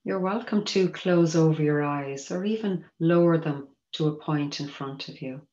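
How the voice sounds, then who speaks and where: A woman teaching mindfulness, guiding a meditation in a gentle, measured, inviting manner. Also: low voice. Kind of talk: guided meditation instruction. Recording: roomy echo, dull muffled sound.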